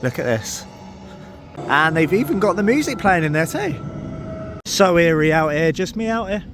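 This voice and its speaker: A man vlogging at a theme park in the evening, chatty and tired, his voice hoarse from a cold. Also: on the high side, expressive, normal speed, music in the background. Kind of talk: casual vlog to camera.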